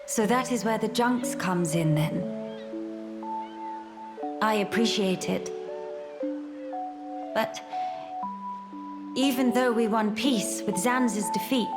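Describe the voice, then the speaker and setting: A woman's voice: a little high, music in the background. An actress playing a composed, formal young noblewoman in a video game cutscene. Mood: grave, measured. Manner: formal, measured, stately diction.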